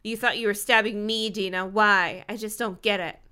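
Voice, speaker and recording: A woman's voice: medium pitch, fairly even intonation, normal speed, sharp tone, clean tone, lightly acted. A woman voicing a frantic, indignant young woman in a storybook, exclaiming in hurt.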